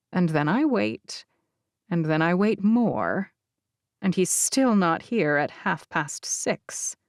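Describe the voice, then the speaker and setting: Female audiobook narrator for first-person fiction. Lower-pitched, very animated intonation, measured delivery. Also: no room sound, clean studio sound. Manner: expressive, deliberate phrasing.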